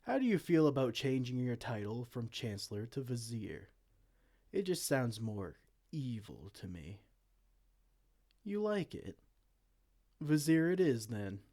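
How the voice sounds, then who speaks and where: A man playing a vain, silly, soft-hearted evil king in a comedic fantasy roleplay, pompous and theatrical but slipping into casual dorkiness. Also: mid-range voice, big pitch swings, unhurried, long pauses. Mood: chummy. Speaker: audio roleplay performer.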